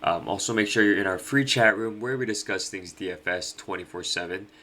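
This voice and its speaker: Chill young guy, stuffy nasal voice, low pitch but bright tone, um-heavy. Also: fairly quick. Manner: laid-back, many ums and uhs. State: nasal from allergies. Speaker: fantasy sports host. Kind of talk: casual picks breakdown.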